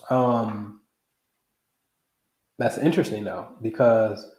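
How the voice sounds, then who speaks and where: Slangy, animated man in his forties. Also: medium pitch, echoey room, low-quality recording. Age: 40s. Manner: loose, slangy and animated, with frequent "you know what I'm saying". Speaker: astrology livestream host. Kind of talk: casual live talk.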